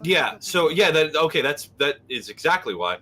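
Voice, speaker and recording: A man's voice: on the high side, big pitch swings, normal speed, bright, forceful tone, slight background noise. A man co-hosting a livestream, chatting casually and rambling, now and then slipping into put-on accents. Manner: rambling, playfully dropping into put-on British and Irish accents. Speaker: livestream co-host. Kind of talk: casual chat with impressions.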